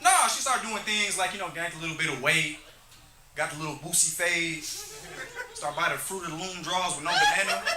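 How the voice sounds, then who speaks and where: High-voiced man telling stand-up stories. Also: a little fast. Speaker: stand-up comedian. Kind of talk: live stand-up storytelling. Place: comedy club stage, on a microphone. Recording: noisy, echoey live club recording.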